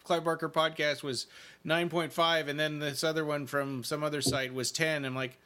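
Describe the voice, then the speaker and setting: Bright-voiced man chatting as podcast co-host. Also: fairly high pitch, level tone, moderate pace, clear, resonant tone. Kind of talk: casual review chat.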